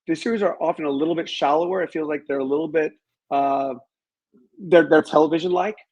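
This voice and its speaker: Male interview guest: slightly high, husky voice, careful hedged delivery, pausing to think. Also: fairly even intonation, darkish tone, muffled recording. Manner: measured, hedging, halting while thinking aloud. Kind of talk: reflective interview conversation about films.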